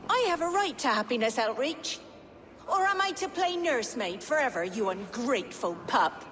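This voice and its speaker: Proud fiery noble lady for a game, high voice, sharp tongue, echoey hall, noisy background. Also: sing-song, normal speed. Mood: indignant. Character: passionate, proud noblewoman, mother and elder sister. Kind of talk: video game acting.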